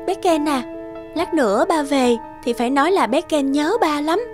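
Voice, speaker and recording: A woman's voice: sits high, normal speed, natural voice. A woman playing a distressed, clinging young mother and betrayed wife in a cartoon drama. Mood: pleading. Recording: loud music behind the voice.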